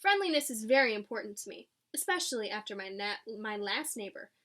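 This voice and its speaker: A woman reading a picture book aloud to children like a teacher, animated and explanatory, acting out lines and adding asides. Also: mid-range voice, a little fast.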